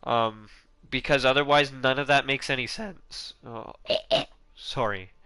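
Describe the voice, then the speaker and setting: A man's voice: medium pitch, steady tone, slow pace, bright, forceful tone, clear, resonant tone, no echo. A man giving casual, rambling commentary on a video game, often restarting his sentences and swearing offhand. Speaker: gaming YouTuber.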